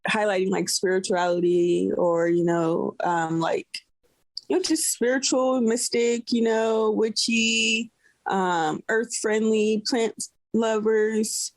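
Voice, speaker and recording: A woman's voice: medium pitch, steady tone, takes it slowly, dry recording. A woman from the San Francisco Bay Area, a guest chatting casually on a podcast and rambling with lots of filler words. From San Francisco Bay Area, USA. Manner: rambling, many fillers like "you know". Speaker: guest, cloth diaper brand owner. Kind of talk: casual interview chat.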